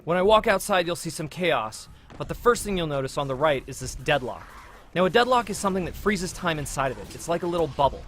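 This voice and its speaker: A man with a fairly high voice narrates a gameplay demo in the role of producer. His scripted presentation sits in a clearly noisy recording, with game sounds behind it. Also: sing-song, quick pace, a bit bright. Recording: noisy low-quality promotional video audio.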